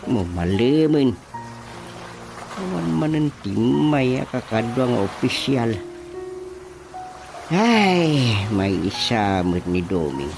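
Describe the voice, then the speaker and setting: A man's voice: medium pitch, very animated, slow, creaky, pauses often, exaggerated voice. A man acting a self-important, flustered elderly village chairman with an Ilocano accent in a radio drama comedy. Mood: muttering, troubled. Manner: pompous, muttering, exclamatory. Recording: music behind the voice. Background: music.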